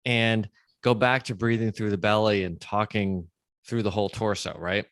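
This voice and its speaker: Low-voiced man hosting, dry close sound. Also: steady tone, normal speed, a bit bright, no room sound. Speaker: podcast host. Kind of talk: conversational interview.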